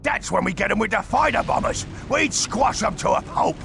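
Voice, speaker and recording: An actor playing a boastful, excitable orc boss in a video game, with a growling voice and broken, exclamatory speech. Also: male voice, high voice, moderate pace, music in the background, exaggerated voice. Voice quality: gravelly, growling. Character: boastful, excitable orc stronghold boss, uncouth and easily panicked. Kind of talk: video game cutscene acting.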